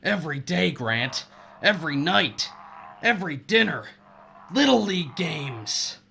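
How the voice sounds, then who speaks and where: A man's voice: on the high side, big pitch swings, measured pace, creaky, bright, forceful tone, slight background noise, exaggerated voice. An actor playing a bitter, vengeful missile officer betrayed by his wife, intense and accusatory, in an audio drama. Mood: bitter, accusing.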